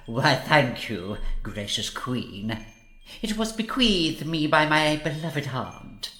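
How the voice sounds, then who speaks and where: An actor playing a pompous, obsequious royal advisor in a comedy audio drama, speaking in florid, ceremonious phrases. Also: male voice, fairly high pitch, big pitch swings, slow pace, fairly bright tone, roomy sound, exaggerated voice. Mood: pompous, fawning.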